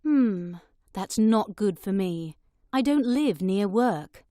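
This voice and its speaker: A woman reading a scripted listening-test dialogue slowly and clearly. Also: medium pitch, animated, dark tone, no room sound, studio quality. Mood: friendly. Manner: slow, clear, carefully spaced words.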